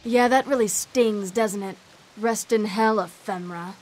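An actress playing a cocky, sardonic half-vampire heroine in a video game, tossing out sarcastic taunts. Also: female voice, mid-range voice, a little slow, darkish tone, natural voice, low-quality recording. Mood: taunting. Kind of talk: video game cutscene acting.

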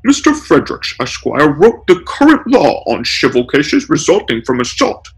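Comic-story corporate lawyer, acted by a male reader: pompous, deliberate, pedantic, lively intonation. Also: on the high side, husky, pitched above the performer's own voice, heavily acted, rough recording. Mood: smugly formal. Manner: formal, deliberate legalese.

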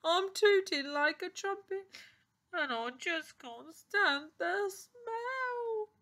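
A woman voicing a loud, embarrassed, complaining gorilla in a children's story. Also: very high voice, animated, slow pace, voice pushed up, full character voice. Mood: distressed.

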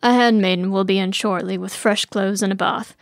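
A woman narrator plays an older king, a hearty father figure in a storybook. He talks with genial grandeur in a dry, slightly creaky voice. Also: mid-range voice, steady tone, brisk, no room sound, lightly acted. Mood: genial, businesslike. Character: hearty, blustering royal father, older man.

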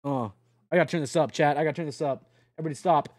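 Young male streamer, high and lively, casual and profane, talking to chat over a truck game, dry close mic. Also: racing, no echo. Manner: excitable, swears often, yelps and laughs; addresses chat directly. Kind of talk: casual live-stream chatter while playing a truck driving game.

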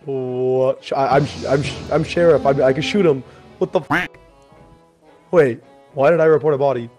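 A man's voice: medium pitch, normal speed, darkish tone, faint music behind. A man in casual game voice chat, stammering and confused.

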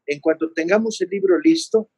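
A man's voice: high voice, big pitch swings, moderate pace, a little mellow, husky, dry recording. Mexican male co-host, dry sound. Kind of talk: video call interview. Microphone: webcam on video call.

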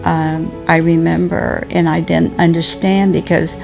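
A woman in her seventies whose first language is Japanese, recalling the past slowly and hesitantly, with many ums and restarts. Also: fairly low pitch, level tone, gravelly, a little mellow, soft background music. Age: 70s. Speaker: interviewee. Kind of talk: slow reflective recollection. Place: sunlit living room at home.

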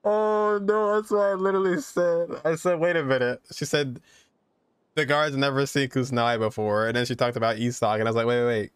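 A young man chatting casually and excitedly as a game streamer, exclaiming in slang as he reacts. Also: mid-range voice, brisk, smooth, no echo, studio quality. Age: young adult. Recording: game music behind. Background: video game music.

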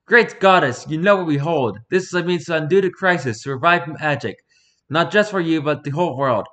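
A man's voice: fairly high pitch, a little fast, a bit bright, natural voice. Courtly man bargaining with a goddess, voiced by the narrator. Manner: formal, courtly. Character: dreadlocked man addressing a goddess like royalty.

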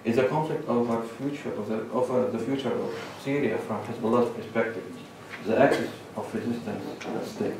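A middle-aged man with a Lebanese accent giving a measured academic presentation at a lectern, pausing between listed points. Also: mid-range voice, fairly even intonation, gravelly, noisy background. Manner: measured, lists points with pauses. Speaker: conference speaker. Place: large lecture hall. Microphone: lectern microphone, far camera. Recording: echoey hall recorded from far off.

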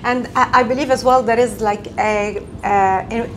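A woman's voice: on the high side, fairly even intonation, moderate pace, a little hiss or hum. A woman in her thirties, a radio interviewer asking questions with enthusiasm, recorded in an office by a camera at a distance. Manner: enthusiastic, repeats "amazing". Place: office, indoors.

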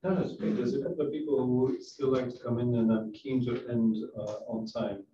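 Male moderator, very mellow dark voice, informal, off-mic sound. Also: mid-range voice, steady tone, normal speed. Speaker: panel moderator. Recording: echoey room, distant mic, dull, faint noise.

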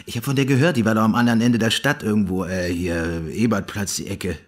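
A naive, inquisitive buddy played by a man in a radio drama. He speaks quickly in a lowish, husky voice with a colloquial, questioning manner. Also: steady tone, natural voice. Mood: curious, doubting. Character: naive, nosy male listener questioning his friend. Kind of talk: casual radio drama dialogue.

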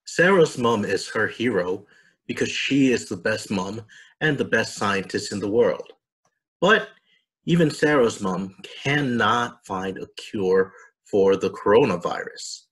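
A man in his thirties reading and presenting for children and families in an explanatory, deliberate way. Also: on the low side, lively intonation, a bit bright. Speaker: storybook reader and presenter. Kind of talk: scripted narration for children and families.

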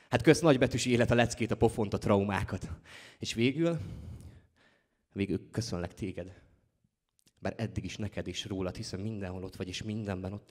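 Male slam poet, thirties, low-pitched voice, theatrical stage delivery with measured pauses and rising emphasis. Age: 30s. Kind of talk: slam poetry performance. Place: on stage at a live slam poetry championship in a theatre.

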